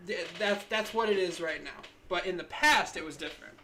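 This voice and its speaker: A woman's voice: on the low side, fairly quick, sharp tone, slight background noise. A woman in her twenties co-hosting a casual podcast, rambling with lots of likes and ums. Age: 20s. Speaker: podcast co-host.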